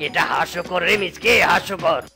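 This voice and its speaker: A man voicing a teasing, sceptical tiger uncle in a comic cartoon, mocking and forceful. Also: high-pitched, moderate pace, creaky, faint music behind, heavily acted. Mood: scornful.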